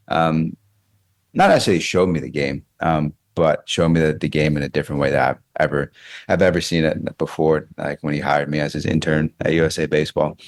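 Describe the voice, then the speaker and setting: American man, extremely deep pitch, dry sound, reflective interview talk, halting and repetitive phrasing. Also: creaky, dry recording. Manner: halting, repeats phrases as he thinks aloud. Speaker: baseball coach interviewed as podcast guest.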